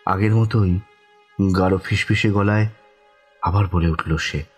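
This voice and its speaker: A man acting as the shaken first-person narrator of a horror audio story, halting and suspenseful, with dramatic pauses. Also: deep voice, slightly rough, faint music behind. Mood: tense suspense. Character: shaken, haunted man recounting a ghostly dream.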